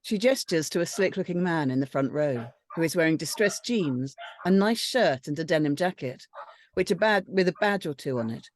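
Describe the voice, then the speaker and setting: A woman's voice: deep voice, animated, normal speed, slight background noise. A woman reading out screenplay stage directions as scripted narration.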